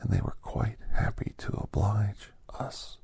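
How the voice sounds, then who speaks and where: A man voicing a kindly, reflective older doctor in soft, half-whispered ASMR storytelling, with breathy, halting short phrases. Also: lowish voice, steady tone, no room sound, heavily acted. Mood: hushed, reflective. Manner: unhurried, pausing between short phrases. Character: older doctor recounting his gentle alien encounters, reflective, kindly.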